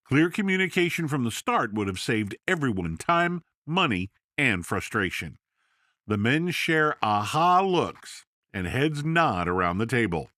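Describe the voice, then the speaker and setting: A man narrating a scripted story for a podcast in a steady, measured way. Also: mid-range voice, dry recording, clean studio sound.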